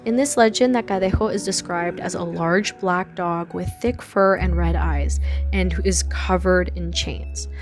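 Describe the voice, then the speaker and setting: A woman's voice: fairly low pitch, unhurried, over music. A young woman hosting a folklore channel, narrating an explainer with chatty asides, filler words and amused comments on close home mic. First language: English. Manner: chatty, laughs at odd details, many fillers. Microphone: close home mic with audio pops.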